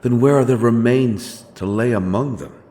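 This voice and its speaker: A man playing a grim, righteous wandering avenger in a dark fantasy audio drama, speaking in a solemn, archaic and deliberate way. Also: mid-range voice, slight background noise, lightly acted. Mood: grim, appalled.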